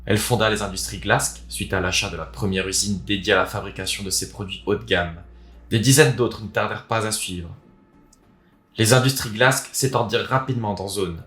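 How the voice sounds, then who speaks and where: A man's voice: on the low side, fairly bright tone. A man presenting a video, talking and reading aloud as its host. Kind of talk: casual video introduction, then expressive reading of a written story. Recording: roomy echo, music underneath. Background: background music.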